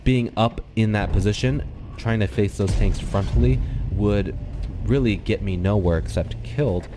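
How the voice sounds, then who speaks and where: A man with a slightly nasal, congested voice calmly explaining a game replay to his viewers on a desktop microphone, thinking aloud with the odd um. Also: on the low side, moderate pace, noisy background. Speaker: gaming channel host. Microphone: desktop USB microphone.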